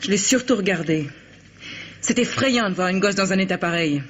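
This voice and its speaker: An actress playing a dealer's hard-edged but uneasy accomplice, blunt and reproachful, in a French radio crime drama. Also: female voice, medium pitch, moderate pace, natural voice. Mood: uneasy, probing. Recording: muffled, hissy old radio recording.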